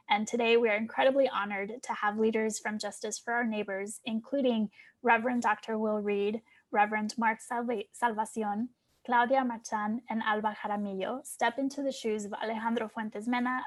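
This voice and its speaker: Higher-voiced woman hosting an event. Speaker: host. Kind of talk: scripted event introduction.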